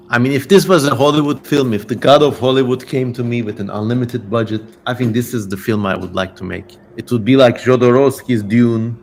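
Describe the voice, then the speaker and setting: A man with a Turkish accent and a mid-range voice hosts a livestream. He talks casually, with some playful theatrical flair, as he addresses his viewers. There is noticeable noise behind him. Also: medium pitch. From Turkey. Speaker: livestream host and reader.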